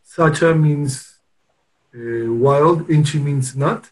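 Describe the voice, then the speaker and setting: A man from Peru speaking as an interview guest, telling his story in a hesitant way with many pauses and restarts. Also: on the high side, poor audio. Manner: hesitant, with frequent restarts and pauses while recounting. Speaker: interview guest, company founder. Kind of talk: casual interview conversation.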